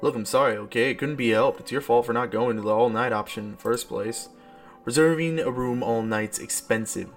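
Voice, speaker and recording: A man's voice: medium pitch, brisk, natural voice. A man voicing a blunt, dismissive, sly teenage schoolboy in a visual novel, curt and casual. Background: background game music.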